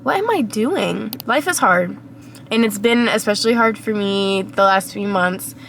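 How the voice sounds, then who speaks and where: A young woman with a cold-hoarse, raspy voice, rambling reflectively to her camera while sitting in her car. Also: medium pitch, animated, measured pace, fairly bright tone, slight background noise. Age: young adult. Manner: rambling, reflective, many fillers. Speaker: vlogger. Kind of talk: casual vlog monologue. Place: inside a parked car.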